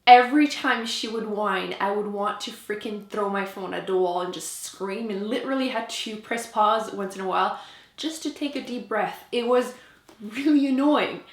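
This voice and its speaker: A woman's voice: on the high side, fairly bright tone, reverberant. A French Canadian woman, a native French speaker, chatting casually and emphatically to camera as a book reviewer.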